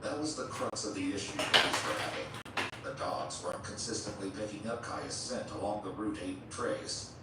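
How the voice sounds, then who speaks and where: A man delivering scripted documentary narration in a measured, explanatory way. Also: on the low side. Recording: echoey, distant, noisy playback.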